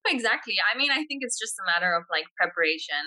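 A woman's voice: mid-range voice, animated, quick pace, sharp tone. A young woman from Poland whose first language is Polish, answering interview questions thoughtfully with frequent fillers. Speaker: interview guest.

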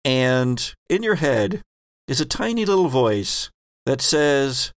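A man running a tabletop role-playing game as game master, asking the players questions in a relaxed, joking way. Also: medium pitch, takes it slowly, no echo, studio quality.

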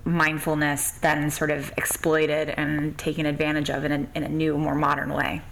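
Low-pitched woman, casual interviewer. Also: monotone, moderate pace, creaky, fairly bright tone, slight background noise, rough recording. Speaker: podcast host, interviewer.

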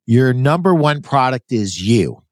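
A man's voice: mid-range voice, very animated, unhurried, no echo, studio quality. A Canadian man in his sixties hosting an interview podcast, reading from his own book with loose, folksy asides and self-corrections. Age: 60s. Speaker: podcast host.

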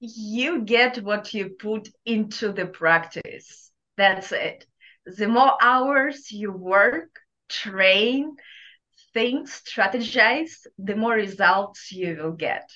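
A woman's voice: mid-range voice, animated, very slow, sharp tone, lo-fi sound. A woman from Kazakhstan with a thick Russian accent, giving earnest motivational advice as an interview guest. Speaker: guest entrepreneur.

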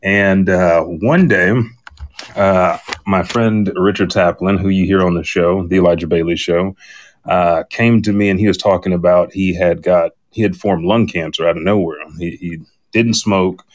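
A man's voice: low-pitched, steady tone, normal speed. A middle-aged man, a podcast guest, casually telling personal stories.

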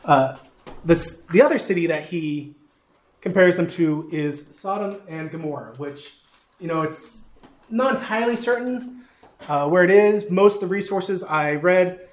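Southern guy preaching, kinda high voice, folksy and jokey about himself, lots of uh's. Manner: folksy, self-deprecating, with frequent uh fillers. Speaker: pastor. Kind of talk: sermon teaching with a map.

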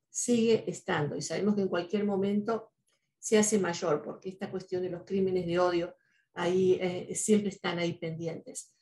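Earnest woman, Spanish first language, mid-pitched, thoughtful podcast delivery with frequent "¿no?". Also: dark tone. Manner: earnest, speaks with many "¿no?" tags and "eh" fillers. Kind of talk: reflective podcast talk.

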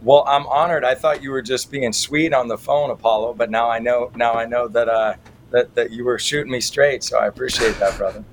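A man's voice: mid-range voice, fairly even intonation, fairly quick. A middle-aged American man answering interview questions as an activist guest, with an irreverent, colloquial manner and folksy turns of phrase. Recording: clearly noisy background.

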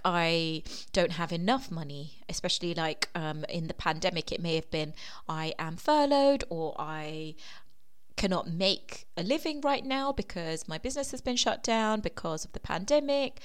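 A woman hosting a solo coaching podcast, a little croaky from a sore throat, explaining at length and trailing off softly at the ends of phrases. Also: mid-range voice. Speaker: weight loss coach and podcast host.

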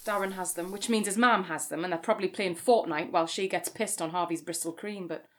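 A woman's voice: lowish voice, steady tone, fairly quick, a bit bright, a little hiss or hum, lightly acted. An actress playing a relentlessly positive mother, in a naturalistic audio comedy drama. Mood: flat, resigned. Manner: encouraging, placating. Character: relentlessly positive sister, a mother of young boys.

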